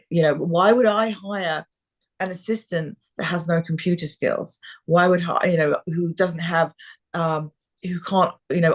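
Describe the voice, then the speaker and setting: A woman talent agent on a video call, talking frankly with lots of fillers, her voice a little hoarse. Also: fairly low pitch. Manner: frank, many fillers and restarts. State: slightly hoarse. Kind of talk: live video call chat. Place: quiet room in a rental house.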